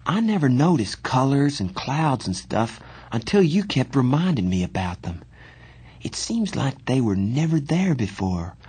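A man voicing a shy, earnest teenage boy in a story, with a halting, plain-spoken manner. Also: medium pitch, very animated, a little mellow, slightly rough, pitched above the performer's own voice, full character voice. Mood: thoughtful.

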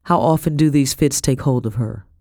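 A woman voicing a grave man, the firm master of a household, with measured authority in an audiobook story. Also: very deep voice, dark tone, no echo, studio quality.

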